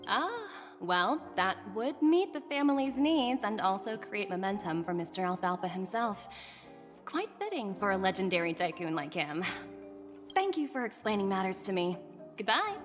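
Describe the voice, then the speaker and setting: A woman's voice: medium pitch, very animated, moderate pace, faint music behind, close to an everyday voice. A woman voicing a poised, playful young corporate director in a video game, teasing and coolly confident in a polished way. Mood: polite, amused.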